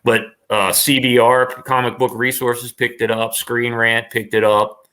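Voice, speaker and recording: A man's voice: medium pitch, flat delivery, a little slow, bright, forceful tone. A man talking casually as an interview guest, enthusiastic and rambling, often repeating his phrases. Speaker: interview guest, online performer.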